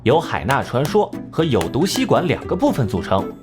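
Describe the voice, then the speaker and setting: A man playing a composed, sharp-tongued genius detective in an animated crime drama, measured and condescending. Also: medium pitch, over music, close to an everyday voice. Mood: coolly explaining.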